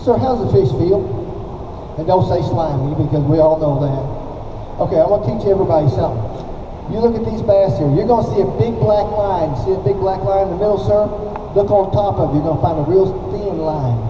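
A man's voice: high-pitched, brisk. An older man from Oklahoma, a folksy, emphatic pro angler giving a live seminar and often checking in with his listeners. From Oklahoma, United States. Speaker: professional angler giving a demonstration. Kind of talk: live fishing seminar to an audience. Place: large indoor exhibition hall. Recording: echoey hall from far off, dull, noisy.

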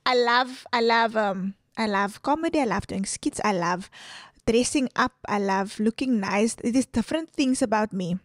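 A woman's voice: on the high side, normal speed, dry recording, clean studio sound. A woman in her thirties with a South African Afrikaans accent, an Afrikaans native speaker, talking candidly and animatedly as a guest in a casual podcast interview, slipping into Afrikaans now and then. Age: 30s. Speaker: guest influencer. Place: small studio set with sofa. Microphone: boom mic on stand.

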